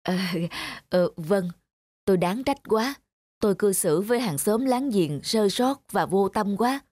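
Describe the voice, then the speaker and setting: A man's voice: high-pitched, expressive, a little slow, a little mellow, dry recording. A man playing a polite, remorseful elderly doctor in a cartoon, speaking humbly and hesitantly. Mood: remorseful, grateful. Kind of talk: cartoon dubbing.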